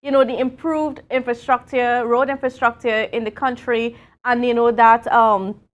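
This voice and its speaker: A Tobagonian woman with a high voice hosts a live morning talk show from the studio. She chats loosely, with plenty of fillers, and laughs easily between points. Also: fairly even intonation, moderate pace, highs cut off.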